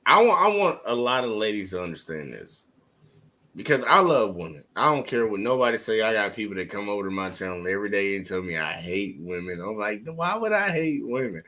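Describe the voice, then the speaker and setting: Animated, bright-voiced man on a podcast. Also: lowish voice, fairly quick. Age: 30s. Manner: animated, emphatic, lecturing. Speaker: podcast host. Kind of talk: casual podcast debate.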